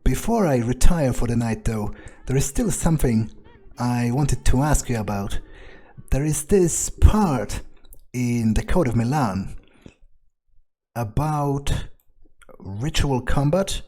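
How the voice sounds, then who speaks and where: A man's voice: mid-range voice, lively intonation, slow, mellow. A man improvising in-character commentary for a game playthrough, with a hesitant, musing and sardonic way of talking. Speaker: gamer role-playing his character.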